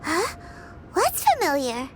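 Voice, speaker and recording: Tiny childlike game fairy, very high squeaky voice, swooping intonation, perky, chatty, curious. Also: female voice, big pitch swings, slow pace, a little hiss or hum, full character voice. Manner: perky, exclaiming, refers to herself by name. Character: small cheerful childlike fairy companion, chatty, food-loving, curious. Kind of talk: video game voice acting.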